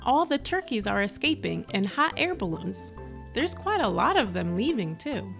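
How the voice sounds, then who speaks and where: A woman's voice: medium pitch, very animated, moderate pace, vocal fry, fairly bright tone. Woman reading a children's story over music. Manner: engaging, asks the listener questions. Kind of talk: read-aloud storytelling with asides to young listeners.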